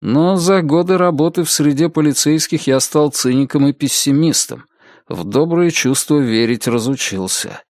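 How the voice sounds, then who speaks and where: A man's voice: on the high side, normal speed, a little mellow, no echo, studio quality, lightly acted. A man voicing a cynical, pessimistic veteran in an audiobook inner monologue, sceptical and reflective. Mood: cynical, musing.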